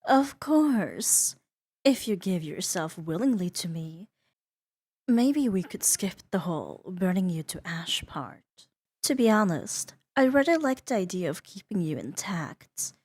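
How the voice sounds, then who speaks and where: Woman acting a slow, seductive, menacing demoness. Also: fairly low pitch, very animated, darkish tone, heavily acted. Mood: threatening, coaxing. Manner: slow teasing delivery, drifting into breathy whispers. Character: seductive, menacing, playful female demon with imperious poise. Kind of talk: scripted roleplay ASMR audio drama.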